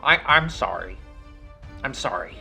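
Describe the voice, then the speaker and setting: A man's voice: mid-range voice, big pitch swings, unhurried, creaky, pushed and bright, soft background music, heavily acted. A man voicing a male felt puppet in a horror story, persuasive and falsely friendly with a rehearsed manner. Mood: urgent.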